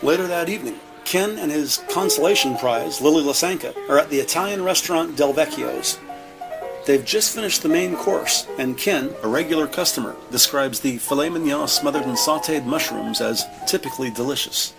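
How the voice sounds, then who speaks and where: A man's voice: fairly high pitch, moderate pace, vocal fry, over music, poor audio. A man reading scripted narration for an audio drama.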